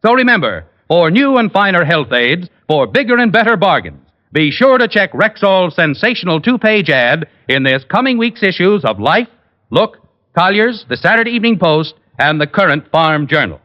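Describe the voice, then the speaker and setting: Old time radio ad guy, high voice, super animated, polished hard sell, muffled sound. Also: moderate pace. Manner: emphatic, polished salesmanship. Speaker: radio commercial announcer. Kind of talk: 1940s-50s radio ad read. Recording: muffled vintage radio broadcast.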